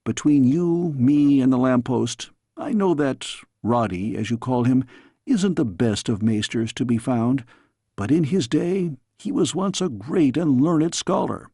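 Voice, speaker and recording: A man voicing a fatherly storybook king, grave yet tender, with measured, formal speech. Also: mid-range voice, lively intonation, dark tone, husky, dry recording, clean studio sound. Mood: confiding, fond.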